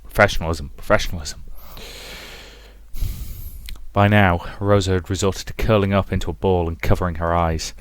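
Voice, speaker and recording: A man's voice: sits low, fairly even intonation, quick pace, no room sound. A man hosting a let's play, narrating a visual novel as a dramatic story. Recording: music behind the voice.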